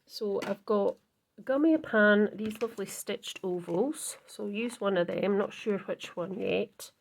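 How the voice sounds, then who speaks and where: Woman vlogger, husky croaky voice, unhurried, rambling self-corrections while crafting. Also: mid-range voice. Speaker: card-making channel host. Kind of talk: casual crafting tutorial chat.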